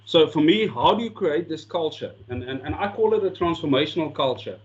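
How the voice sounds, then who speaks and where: A man's voice: a little high, expressive, brisk, slightly rough, muffled recording. A South African man whose first language is Afrikaans, giving an earnest coaching talk with slides and often repeating words as he restarts sentences. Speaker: sport coaching lecturer.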